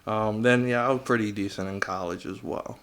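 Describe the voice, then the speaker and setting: A man's voice: on the low side, steady tone, fairly quick, a bit bright, smooth. A man with an American accent, chatting casually and informally as a guest in a podcast interview. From United States, Virginia. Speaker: podcast guest, business coach.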